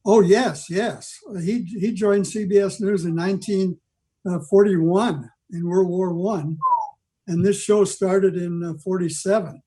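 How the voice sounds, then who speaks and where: An older man giving an informal history talk on a video call, rambling along with halting digressions. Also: sits high, steady tone, warm tone, slightly rough, poor audio. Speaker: club member giving a presentation. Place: video meeting from home.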